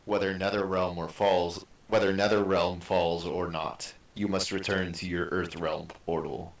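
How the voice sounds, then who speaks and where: A man playing a solemn, resigned undead ninja brother in a fan drama, speaking in a measured, formal way. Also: low-pitched, little pitch movement, creaky. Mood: solemn, firm. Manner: slow, measured, formal delivery. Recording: echoey room, distant mic.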